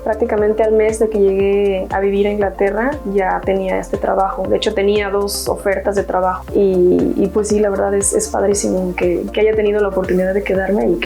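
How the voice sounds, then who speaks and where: A woman's voice: medium pitch, gravelly, dark tone. A young woman from Hidalgo with a Mexican accent, telling her own story in a chatty, earnest way with many restarts. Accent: Mexican Spanish. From Mexico, Hidalgo state. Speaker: university graduate interviewee. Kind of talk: personal testimony.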